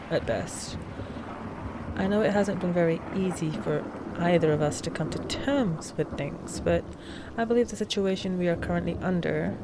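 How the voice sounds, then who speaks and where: A woman playing a polite, frazzled vampire coven leader in an audio roleplay, speaking formally with halting hesitations. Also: lowish voice, noisy background. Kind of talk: scripted audio roleplay drama.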